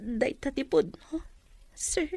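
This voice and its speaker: Woman acting a high-voiced, humble young mother-to-be. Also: lively intonation, takes it slowly, creaky, dark tone, dry recording, heavily acted. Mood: sad, humble. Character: humble, sorrowful young pregnant woman from poverty. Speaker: voice actor in an audio drama. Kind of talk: Filipino radio-drama acting in Ilocano.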